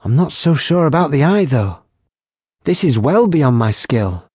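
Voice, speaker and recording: A brisk, teasing young barmaid healer, as a man puts her on in an audiobook. The voice is raised high and stays husky. She scolds quickly in a lively way. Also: dry recording, clean studio sound, heavily acted.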